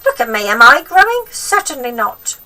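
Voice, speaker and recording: A woman's voice: on the high side, sing-song, moderate pace, bright, forceful tone, recorded from a distance, voice pushed up. A woman voicing a selfish, grumpy old grandmother from a children's storybook, snappish, scolding and demanding. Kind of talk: acted children's storybook reading.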